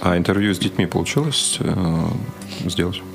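A man's voice: deep voice, animated, moderate pace, creaky, faint music behind. A man working as a radio interviewer, asking questions and commenting in a casual conversational way.

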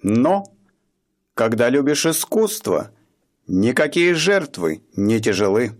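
A man's voice: medium pitch, slow. A man narrating a radio play in a scripted, storytelling way. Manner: expressive storytelling.

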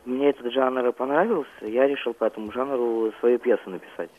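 A man's voice: medium pitch, fairly even intonation. Teen guy calling into radio, muffled phone sound, kinda hesitant answers with lots of filler. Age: teenager. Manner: halting, reserved, often says "like". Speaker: young amateur playwright, phone-in guest. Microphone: telephone. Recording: muffled, band-limited phone line.

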